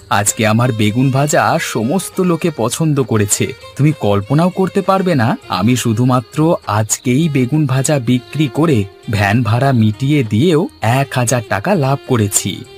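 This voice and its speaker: A man voicing an earnest, energetic young village son and street hawker in a cartoon. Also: mid-range voice, unhurried, natural voice. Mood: happy. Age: young adult. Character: eager, devoted young village son selling fried eggplant. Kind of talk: Bengali cartoon voice acting. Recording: music under the voice.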